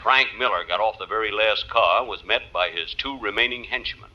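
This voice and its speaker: Deadpan American actor as marshal narrator, muffled old recording. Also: male voice, lowish voice, noisy background, natural voice. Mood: mock-solemn. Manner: deadpan, measured comic pauses. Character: town marshal narrating his showdown, wry, mock-solemn. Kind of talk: 1950s radio comedy scripted narration.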